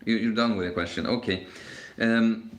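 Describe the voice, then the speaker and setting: A man's voice: fairly low pitch, fairly even intonation, moderate pace. A man in his twenties hosting a casual live Q&A stream, talking in a rambling, hesitant way with many fillers and restarts. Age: 20s. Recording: echoey room.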